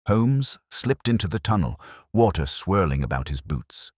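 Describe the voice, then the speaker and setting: A man with an extremely low, husky voice narrates a dramatic mystery. His delivery is solemn and suspenseful, with lively rises and falls in pitch. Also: unhurried, dry recording, studio quality. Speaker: audiobook narrator.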